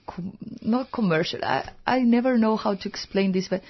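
A woman in her thirties from Chile with a Chilean Spanish accent, speaking as a radio guest in a soft, reflective way. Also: medium pitch, expressive, moderate pace. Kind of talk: casual radio chat. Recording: dull lo-fi sound.

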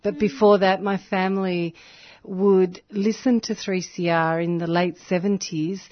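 A woman's voice: on the low side, fairly even intonation, unhurried. A woman from Chile, a community radio broadcaster and activist, explaining things earnestly in a radio interview, with frequent ums. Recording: dull lo-fi radio audio.

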